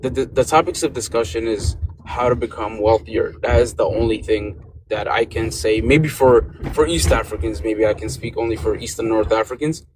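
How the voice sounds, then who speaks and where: A man's voice: on the low side, moderate pace, background noise. A man from Sudan, Arabic his first language, speaking English with an accent, hesitant with many fillers as a call-in guest. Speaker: guest caller. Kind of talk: debate call-in.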